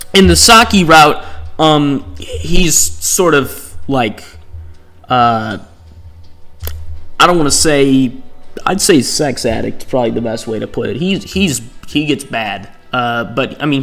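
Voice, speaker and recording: A man with a mid-pitched voice talks casually over background music. He rambles like a host working through his opinions out loud. His delivery is exasperated and full of exaggeration. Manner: exasperated, hyperbolic ranting. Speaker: host ranking visual novel protagonists.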